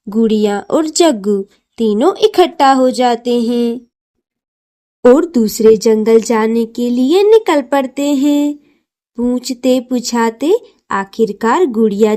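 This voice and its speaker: High, slow, lively woman storyteller. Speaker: narrator. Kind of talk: scripted children's story narration.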